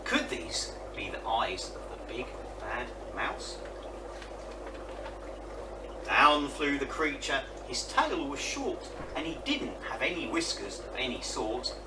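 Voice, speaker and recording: A man narrating a children's picture book in a dramatic, expressive way. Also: medium pitch, slow, reverberant. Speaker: story reader. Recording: distant mic with clear noise.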